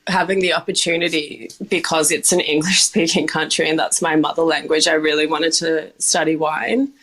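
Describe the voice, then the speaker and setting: A woman's voice: deep voice, steady tone, moderate pace, fairly bright tone. A young woman, a bar manager and sommelier, talking casually in an interview with lots of "like" and "um". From Australia. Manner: chatty, many "like" and "um" fillers, laughs often.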